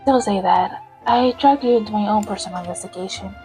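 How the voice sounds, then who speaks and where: Kindly young leader for a game fan dub , woman performer, calm, courteous and sincere. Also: mid-range voice, a little fast, faint music behind, natural voice, rough recording. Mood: gentle, apologetic. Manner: calm, polite, considerate. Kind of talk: amateur fan voice-over of game dialogue.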